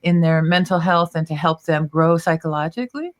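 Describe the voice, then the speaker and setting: Woman host, effusive and lively, leading an interview. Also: low-pitched, brisk, dull audio. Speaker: show host. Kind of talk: interview hosting.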